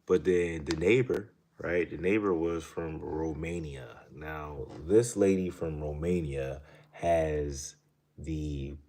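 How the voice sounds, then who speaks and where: A man with an extremely low, deep voice talks casually to his viewers. He rambles through a story and then money rules, and tags almost every thought with "right?". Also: slow, dark tone. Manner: rambling, keeps asking "right?". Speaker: channel host.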